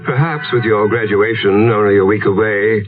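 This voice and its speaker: A man acting a grave, deferential village vicar in a gothic radio drama, speaking in a solemn, formal, measured way. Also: fairly low pitch, fairly even intonation, over music, natural voice. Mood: grave, sorrowful. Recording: muffled, tinny, heavy noise behind.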